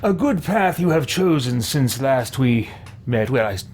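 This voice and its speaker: A man hosting a game playthrough, musing in character with hesitant pauses and hums. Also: medium pitch, animated, darkish tone, a little hiss or hum. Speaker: let's play host. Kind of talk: in-character roleplay commentary over a video game.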